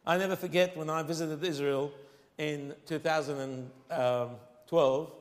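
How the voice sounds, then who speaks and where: Arabic-accented male preacher, emphatic, high-ish voice, reverberant hall. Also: steady tone. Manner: emphatic, slows and rises on key phrases. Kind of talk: sermon.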